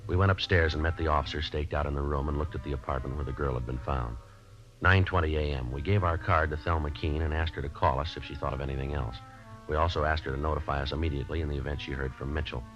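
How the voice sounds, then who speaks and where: A courteous American police detective in a vintage radio drama. His voice is extremely low and he talks briskly in a deadpan, no-nonsense way. The recording is old and muffled. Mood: matter-of-fact. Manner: clipped, polite, deadpan. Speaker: lead detective and narrator.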